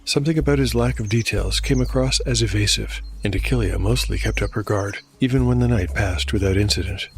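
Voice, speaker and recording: A man's voice: on the low side, brisk, creaky, few pauses. A man narrating and hosting a fantasy audio drama podcast, reading from a script. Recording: faint music underneath. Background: faint music.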